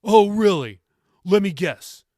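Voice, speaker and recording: A man's voice: high-pitched, expressive, slow, dark tone, no room sound, studio quality, pitched above the performer's own voice. A man voicing an angry, sarcastic seventeen-year-old grandson in an audiobook, sneering and snarling his words. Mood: demanding, sarcastic.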